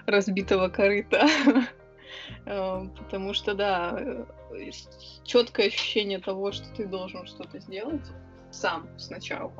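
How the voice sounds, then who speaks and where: A young woman answers interview questions in a chatty, rambling way, stopping often on filler sounds, her pitch sitting right in the middle. The recording is a little dull, with faint music under her voice. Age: young adult. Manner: chatty and quick, with many hesitation sounds. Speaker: interview guest, writer and club organizer. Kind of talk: casual conversational interview.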